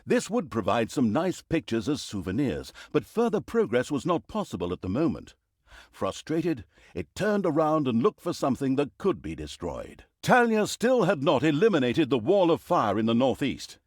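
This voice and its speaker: An actor playing a pompous, mocking narrator of an evil fantasy video game, with theatrical storybook delivery and dry sarcasm. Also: male voice, medium pitch, very animated, moderate pace, no room sound. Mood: arch, mocking. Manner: arch, dryly sarcastic, dramatic emphasis.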